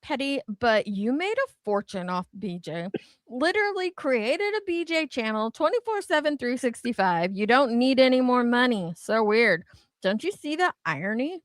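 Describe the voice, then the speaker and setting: A woman's voice: a little high, very animated, normal speed, dry recording, studio quality. A woman co-hosting a casual chat, reacting to comments in a sassy, teasing way. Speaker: co-host reacting to viewer comments.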